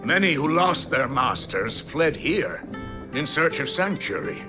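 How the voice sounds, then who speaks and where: An actor playing an earnest, deferential older village mayor in a fantasy game, with an expressive, folksy delivery. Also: male voice, fairly high pitch, a little slow, music in the background. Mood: somber, recounting. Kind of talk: dramatic fantasy game acting.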